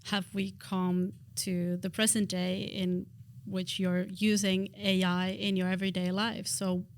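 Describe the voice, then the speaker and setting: A woman's voice: medium pitch, flat delivery, measured pace. A woman hosting a podcast interview, speaking with many hesitant fillers. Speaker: podcast host.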